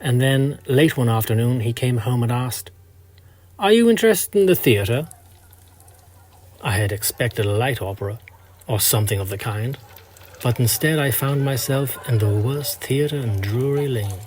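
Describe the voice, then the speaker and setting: A man reading a scripted first-person story in a measured, literary manner. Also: medium pitch, a little mellow, slight background noise. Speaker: narrator.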